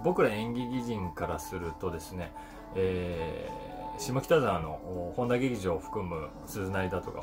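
Low-voiced man musing over music. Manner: thoughtful, unhurried musing with many fillers. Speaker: actor and voice actor. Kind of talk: casual vlog talk to camera. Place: indoors in front of a brick backdrop.

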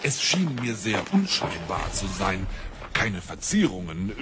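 An actor in a German audio drama playing a shifty, obsequious gang leader with menace underneath and theatrical delivery. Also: male voice, lowish voice, big pitch swings, a little slow, heavy background noise. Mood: fawning.

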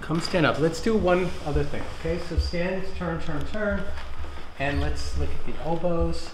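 A man's voice: on the high side, moderate pace. A middle-aged man, a chiropractor giving casual hands-on instructions to a patient. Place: indoor chiropractic treatment room. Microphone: camera in the room. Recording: echoey room, clear background noise.

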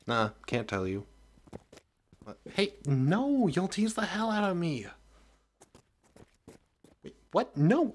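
A young man acting a sweet, oblivious college best friend in a roleplay audio drama, chatty, boyish and expressive. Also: medium pitch, lightly acted. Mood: flustered, indignant.

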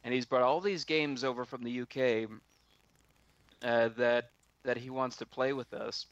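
A man casually telling a story on a video call, halting and often repeating his words. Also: mid-range voice, fairly even intonation, dry recording, highs cut off.

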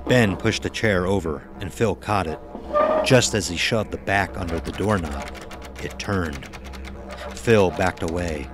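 A man's voice: low voice, unhurried, music in the background. A man narrating a scripted horror audio drama as its storyteller.

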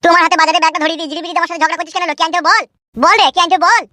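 A man's voice: very high-pitched, fairly even intonation, very fast, sharp tone, a little hiss or hum. A man voicing a grumpy, blunt village husband who bickers with his wife in a cartoon comedy. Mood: angry, bickering.

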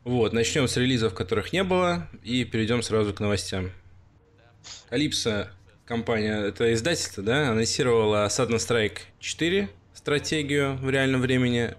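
A man co-hosting a casual gaming news chat, talking in a loose, relaxed way with many fillers and pauses. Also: mid-range voice. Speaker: co-host of a gaming news show.

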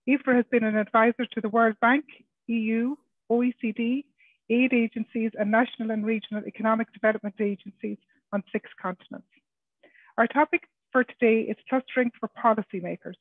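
A woman's voice: a little high, no echo. Woman reading out a speaker intro, dry and tinny like over the phone. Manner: formal and steady. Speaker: webinar moderator. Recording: tinny phone-like call audio.

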